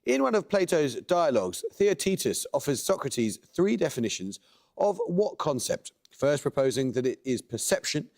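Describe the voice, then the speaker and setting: Measured male quiz show host. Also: on the high side, sing-song, vocal fry, a little mellow. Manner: measured, precise delivery. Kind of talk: formal quiz hosting, reading questions.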